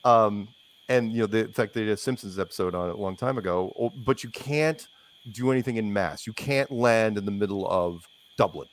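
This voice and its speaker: A man's voice: lowish voice, brisk. A man talking casually as an interview guest, opinionated and rambling, repeating phrases for emphasis.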